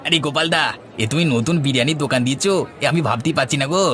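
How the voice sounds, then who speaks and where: A man's voice: on the high side, racing, fairly bright tone, some chatter behind, a little hiss or hum, lightly acted. A man playing an eager, outspoken young eatery worker in a cartoon. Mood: eager, flattering. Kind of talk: Bengali cartoon dialogue.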